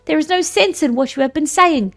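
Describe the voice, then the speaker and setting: A woman's voice: high voice, animated, brisk, fairly bright tone, pitched above the performer's own voice. Woman putting on a scornful suitor's accent. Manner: mocking put-on accent. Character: scornful arrogant male suitor.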